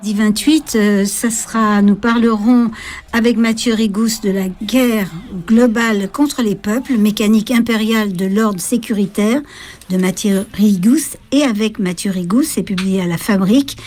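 A woman's voice: medium pitch, normal speed, darkish tone. A woman talking conversationally as the host of a radio show.

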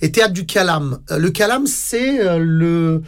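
A man being interviewed on the radio about his theatre company, talking haltingly with many fillers and repeated words. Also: a little high, animated. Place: radio studio.

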